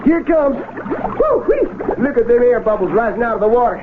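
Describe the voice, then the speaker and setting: An actor in a 1970s radio drama playing a folksy, excitable young American country fellow with rustic, exclamatory talk. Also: male voice, extremely high pitch, normal speed, exaggerated voice. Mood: excited. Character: excitable folksy country youth, fishing with his friend. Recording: phone-like lo-fi old radio, loud hiss.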